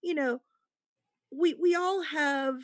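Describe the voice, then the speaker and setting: High-voiced woman panelist, dry recording. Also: takes it slowly, no room sound. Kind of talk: casual panel discussion.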